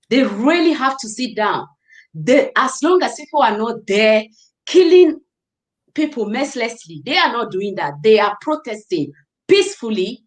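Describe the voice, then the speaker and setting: A woman's voice: high voice, low-quality recording. A woman hosting a live call-in discussion, speaking emphatically and pleading, agreeing with her guest. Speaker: talk show host.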